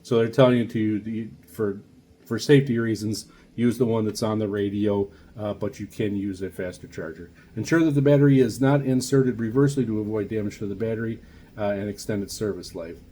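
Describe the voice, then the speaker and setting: Guy doing a laid-back unboxing video, lowish soft warm voice, lots of uhs, halting while he reads stuff out. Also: a little hiss or hum. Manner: halting, frequent drawn-out uhs and pauses. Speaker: radio hobbyist reviewer, video host. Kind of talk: casual unboxing talk, reading instructions aloud.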